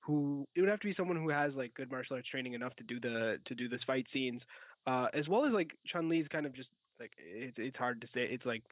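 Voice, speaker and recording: A man co-hosting a casual film chat, rambling and halting as he repeats his words. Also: medium pitch, no echo. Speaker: podcast co-host. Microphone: remote online call. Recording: phone-like, dry, lagging call audio.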